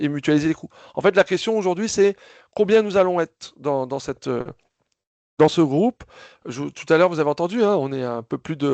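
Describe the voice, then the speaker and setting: A man's voice: fairly high pitch, creaky, a little mellow, no room sound. A man hosting a video call for his association, briefing members in a casual, hesitant way with many fillers and restarts. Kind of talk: casual spoken briefing, moderating questions.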